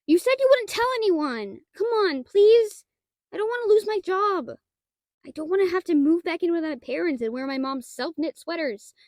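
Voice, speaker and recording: A woman's voice: extremely high pitch, lively intonation, normal speed, a little mellow, no room sound, studio quality. A woman playing a shy, nerdy young half-dragon librarian in an intimate audio roleplay, stammering and hesitant, with bookish wording and an easily flustered manner. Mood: nervous, pleading.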